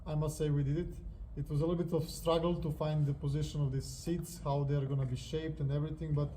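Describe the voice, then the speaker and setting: A man's voice: on the high side, little pitch movement, fast, warm tone, a little hiss or hum, rough recording. A man with a Serbian accent hosting a motorcycle review in casual, unscripted vlog talk.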